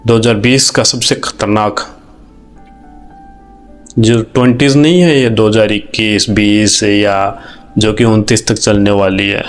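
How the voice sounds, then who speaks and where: A man's voice: on the low side, steady tone, measured pace, pauses often, faint music behind. A man from India with an Indian accent, hosting an informal, reflective video commentary in an earnest, conversational way, mixing in English phrases. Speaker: video host.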